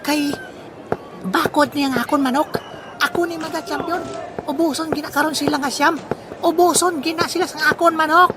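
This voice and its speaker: A woman voices a boastful cockfight gambler with a Western Visayan accent. The voice is high and full of exclamations, played for comedy in an audio drama. There is plainly audible ambient noise. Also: steady tone, moderate pace, pauses often, close to an everyday voice. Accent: Filipino, Western Visayan. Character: boastful, gleeful cockfight gambler with a prized rooster.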